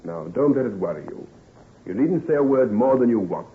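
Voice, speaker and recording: A man acting a brisk doctor and trusted friend in a vintage radio play. His mid-range voice rises and falls freely with emphatic assurance. It sounds narrow, like an old broadcast. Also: medium pitch, lively intonation, slight background noise, close to an everyday voice. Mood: reassuring. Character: male doctor, brisk, solicitous friend and adviser. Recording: tinny old-time radio recording.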